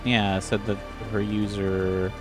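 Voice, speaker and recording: Male game player with a deep voice, casual conversational delivery, music underneath. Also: little pitch movement, unhurried.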